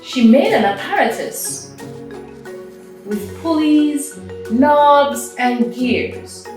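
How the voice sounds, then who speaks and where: A woman hosting a children's read-aloud, expressive and animated, mixing storybook reading with chatty questions to young listeners. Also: sits high, drawn-out words, faint music behind. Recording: very echoey room, distant mic.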